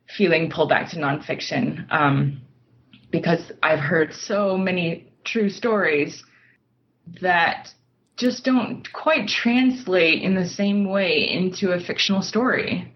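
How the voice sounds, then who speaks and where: A woman speaking as a writer being interviewed, thoughtful and measured, pausing mid-sentence as she reflects. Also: lowish voice. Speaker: podcast guest, nonfiction writer. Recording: dull lo-fi audio.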